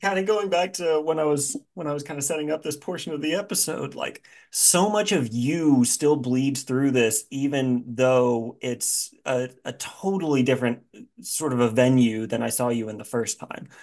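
A man's voice: medium pitch, normal speed, a little mellow. A man hosting a podcast in casual, informal chat, dramatizing his reactions as he goes. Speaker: podcast host.

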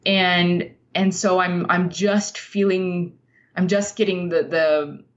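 Female interview guest, lower register, measured conversational explaining, slightly dull lo-fi recording. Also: steady tone.

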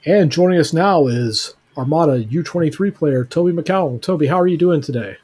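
Husky-voiced man hosting a fan podcast. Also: a little high, fairly quick, darkish tone, no echo. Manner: loose, filler-heavy, jokey. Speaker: podcast host.

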